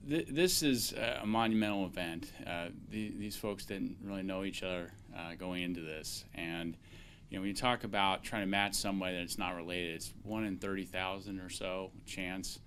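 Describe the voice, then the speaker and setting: A man speaking as a transplant doctor at a press conference, measured and peppered with filler sounds. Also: deep voice, a bit bright, slight background noise.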